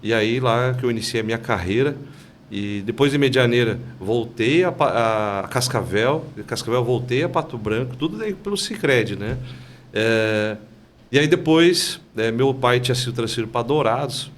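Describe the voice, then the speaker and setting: Man, mid-pitched, calm storyteller, podcast guest, faint ambience. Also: normal speed, a little hiss or hum. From Santa Catarina, Brazil. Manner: calm, storytelling, frequent "né?" tags. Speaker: bank branch manager, guest. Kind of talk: casual podcast interview.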